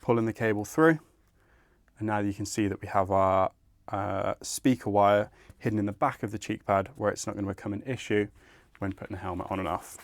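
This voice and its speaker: Low-voiced man giving a step-by-step tutorial. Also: steady tone, brisk, dry recording, clean studio sound. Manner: methodical, informal explaining. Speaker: product installation presenter. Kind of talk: instructional step-by-step tutorial narration.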